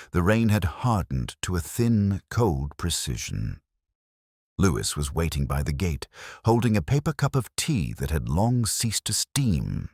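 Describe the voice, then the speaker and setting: A man narrating a first-person detective story in a measured, literary, melancholy manner. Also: extremely low pitch, a little mellow, dry recording, clean studio sound.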